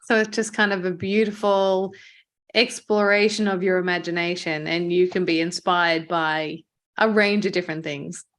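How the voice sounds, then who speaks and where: A woman's voice: lowish voice, steady tone, moderate pace. A woman interviewing a guest as podcast host, affirming what is said and phrasing her questions thoughtfully and a little tentatively. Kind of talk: conversational interview.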